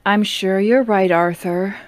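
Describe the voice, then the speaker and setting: A woman's voice: medium pitch, fairly even intonation, takes it slowly, lightly acted. A woman voicing a meek middle-aged wife who answers deferentially. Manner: mild, deferential.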